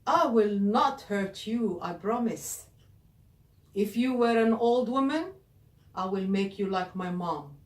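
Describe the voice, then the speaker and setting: A woman voicing a gruff male beast, lonely and gentle underneath, booming out his lines loudly. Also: slow, close to an everyday voice. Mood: coaxing. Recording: roomy, distant mic.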